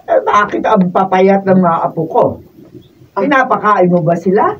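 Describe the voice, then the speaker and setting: A woman's voice: medium pitch, animated, normal speed, faint music behind, natural voice. A Filipino woman playing a sour, fault-finding elderly mother-in-law in a radio drama, nagging and indignant. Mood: accusing. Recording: distant mic, dull sound.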